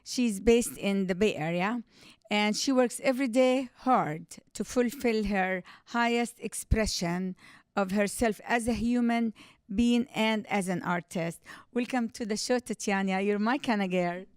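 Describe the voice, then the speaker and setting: A woman's voice: mid-range voice, unhurried, clean tone, no room sound. Upbeat female talk show host. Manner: upbeat, asks simple prompting questions. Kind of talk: interview.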